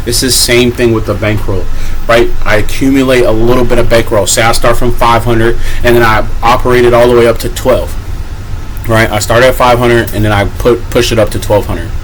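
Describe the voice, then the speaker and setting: A man's voice: fairly low pitch, a little hiss or hum, poor audio. Man in his twenties, candid profane vlog. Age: 20s. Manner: casual, profane, self-motivating, repeats "right?". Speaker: vlogger. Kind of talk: candid personal vlog monologue.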